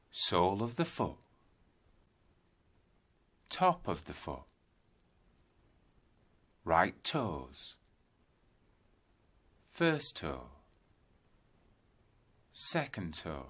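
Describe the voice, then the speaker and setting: A man guiding a yoga nidra meditation in calm, deliberate, instructional phrases with space between them. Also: deep voice. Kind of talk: guided meditation narration.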